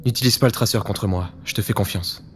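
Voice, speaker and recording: Man playing a low-voiced, weary secret agent. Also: fast, a little hiss or hum, lightly acted. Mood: weary, resolved. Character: weary, vengeful male secret agent on his last mission. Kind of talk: French audio fiction acting.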